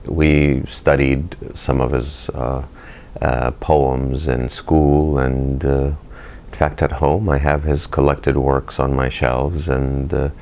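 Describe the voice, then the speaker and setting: A middle-aged man, a poet and physician, talking in a recorded interview, thoughtful and halting with many fillers. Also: very deep voice, little pitch movement, vocal fry, a little mellow, a little hiss or hum.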